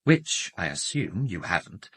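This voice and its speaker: A man's voice: low voice, sing-song, measured pace, sharp tone, husky, full character voice. An actor with a British accent playing an arrogant doctor in a radio drama, haughty, precise and emphatic in his own defence. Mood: dismissive. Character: arrogant, self-justifying male doctor under police questioning.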